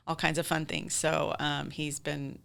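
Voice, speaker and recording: Low-pitched, crisp-voiced American woman. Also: level tone, unhurried, a bit bright. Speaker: guest, theater director. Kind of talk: casual interview chat.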